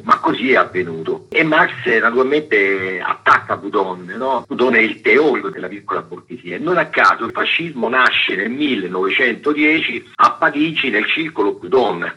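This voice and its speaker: A middle-aged Roman man with a low, very sharp and husky voice. He lectures as an academic radio guest, didactic and given to digressions. The sound is dull and band-limited. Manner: didactic, digressive, with rhetorical tags. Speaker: historian and author, interview guest. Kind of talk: academic explanation in a radio interview.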